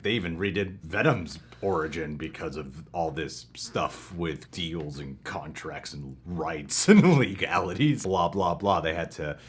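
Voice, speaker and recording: A man's voice: low voice, a little slow, few pauses, slight background noise. A young man co-hosting a movie reaction show, chatting casually with jokey, self-mocking bravado.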